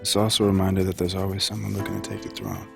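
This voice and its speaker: A man's voice: sits low, flat delivery, rapid-fire, vocal fry, music in the background. A man playing an easygoing young pro basketball player in casual video game cutscene dialogue. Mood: reflective. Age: young adult.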